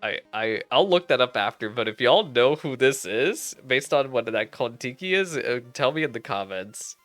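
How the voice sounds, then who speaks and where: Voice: medium pitch, expressive, moderate pace, a bit bright. A game streamer of unclear sex, rambling and exclaiming while reacting live to a story quest. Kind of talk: reading game dialogue aloud with excited live reactions. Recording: game music behind the voice. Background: video game music.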